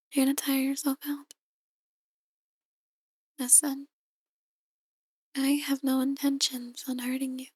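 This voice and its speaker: A woman performing an ASMR roleplay as a soft-spoken, possessive female demon, coaxing in a hushed, breathy voice that often slips into whispers. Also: sits high, no room sound.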